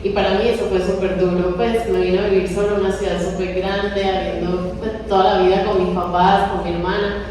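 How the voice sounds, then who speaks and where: A woman's voice: mid-range voice, little pitch movement, a little fast, darkish tone, slight background noise. A young woman from Colombia's Caribbean coast, eagerly asking a question from the audience with apologetic laughs. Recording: echoey hall, mic from far off.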